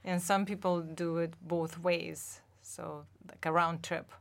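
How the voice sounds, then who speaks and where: Low, creaky woman. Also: level tone, slow. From central Russia. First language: Russian. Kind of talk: casual conversational chat.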